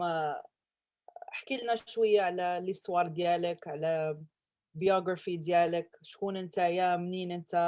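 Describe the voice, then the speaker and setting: A woman hosting a casual online chat on a video call, rambling and switching languages quickly. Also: fairly low pitch, dark tone. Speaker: host.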